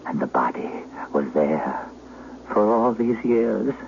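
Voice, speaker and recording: An actor playing a frail, dying old shepherd, speaking in a weary, solemn rustic manner in an old radio drama. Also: male voice, fairly low pitch, very animated, takes it slowly, gravelly, darkish tone, full character voice. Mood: confessing, failing. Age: elderly. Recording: dull vintage radio, faint hiss.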